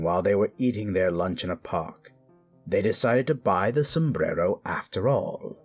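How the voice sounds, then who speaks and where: A man hosting and narrating scary stories with theatrical, macabre relish, moving between dramatic storytelling and musing commentary. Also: fairly low pitch. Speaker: horror story narrator and host.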